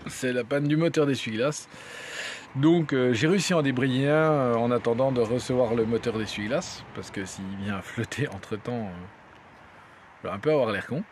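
A man's voice: mid-range voice, moderate pace, a little hiss or hum. A young man chatting casually and wryly as a vlogger, in an informal, colloquial way, recorded on a handheld phone. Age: young adult. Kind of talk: casual vlog chat. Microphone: phone at arm's length.

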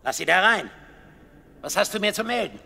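Troubled bossy king, radio drama, highish gravelly voice, urgent. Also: big pitch swings, moderate pace, sharp tone, long reverb tail, exaggerated voice. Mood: commanding. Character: troubled, commanding king desperate for guidance. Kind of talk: dramatic radio play acting.

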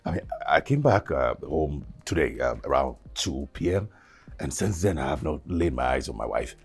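A man's voice: rumbling low, animated, normal speed, creaky, breathy edge, soft background music, heavily acted. An actor with a Nigerian accent playing an agitated, pleading husband and father in a film drama, stammering under stress. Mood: worried. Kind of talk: naturalistic film drama acting.

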